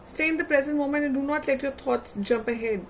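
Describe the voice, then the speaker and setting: A woman reading tarot cards for her viewers, unhurried and reflective, putting gentle questions to them and losing her voice. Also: high-pitched, a little hiss or hum. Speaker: tarot reader.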